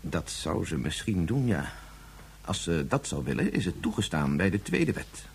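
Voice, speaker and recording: A man's voice: low-pitched, big pitch swings, moderate pace, gravelly, a little mellow, slight background noise, heavily acted. A man in a radio drama playing a preoccupied father who explains things in formal, reasoned language. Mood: grave.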